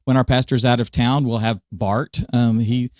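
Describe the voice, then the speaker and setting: A man's voice: medium pitch, fairly even intonation, normal speed, darkish tone, dry recording, clean studio sound. A man co-hosting a casual podcast chat about church media, explaining sound setups through everyday church examples.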